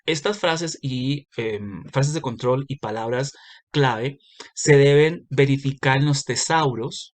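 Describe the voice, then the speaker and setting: A man giving a recorded lecture, explaining step by step with frequent pauses and self-corrections. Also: medium pitch. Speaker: university lecturer.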